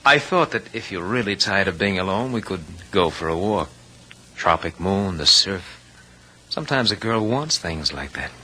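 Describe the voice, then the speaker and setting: An actor playing a smooth, menacing, educated young gunman in a radio drama, courtly and florid in his speech. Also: deep voice, big pitch swings, normal speed, sharp tone, heavily acted. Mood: silky menace. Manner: courtly, poetic, mockingly polite. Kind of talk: 1950s radio drama acting. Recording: noisy vintage radio recording.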